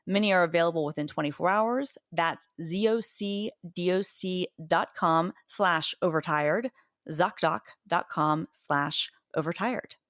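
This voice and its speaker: A woman's voice: fairly low pitch, measured pace, a bit bright, dry recording, clean studio sound. A woman co-hosting a podcast, reading a sponsor spot in a chatty way with jokey asides. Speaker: podcast co-host. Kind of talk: casual podcast chat and conversational ad read.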